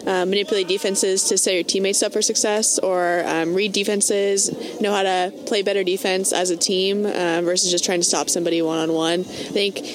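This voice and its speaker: A woman's voice: mid-range voice, flat delivery, a little fast, few pauses, crowd noise. A woman basketball player answering interview questions thoughtfully, with hesitant fillers, outdoors. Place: outdoor event stage. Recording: background noise.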